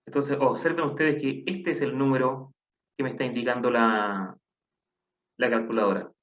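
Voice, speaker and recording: A man's voice: mid-range voice, steady tone, normal speed. A man teaching a math class online, patiently counting aloud and asking the class questions. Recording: dull lo-fi online class audio.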